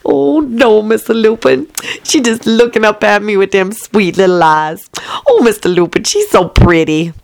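Woman doing a high, doting chatty nanny. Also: lively intonation, normal speed, creaky, darkish tone, dry recording. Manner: folksy, doting, gossipy.

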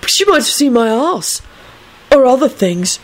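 A woman's voice: high voice, very animated, normal speed, voice pushed up. A woman voicing a giddy, self-righteous, medicated teenage boy in an audiobook, rambling in a blithe, earnest way.

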